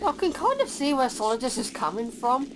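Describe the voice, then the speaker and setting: Casting: female gaming commentator, lively highish voice, informal and joking, loud game sound behind. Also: brisk, heavy background noise. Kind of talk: casual co-op playthrough commentary.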